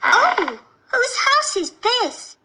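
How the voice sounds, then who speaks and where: A woman voicing a curious, fussy little girl in a children's fairy tale, speaking in simple, expressive exclamations. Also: very high voice, drawn-out words, a little hiss or hum, full character voice. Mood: delighted wonder. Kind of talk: children's storybook acting. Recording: music behind the voice.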